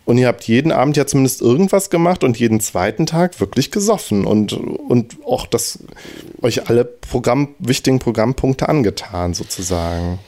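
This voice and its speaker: A man's voice: medium pitch, animated, normal speed, dark tone. A man hosting a casual podcast chat, talking in loose, hesitant sentences with many restarts. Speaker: podcast host.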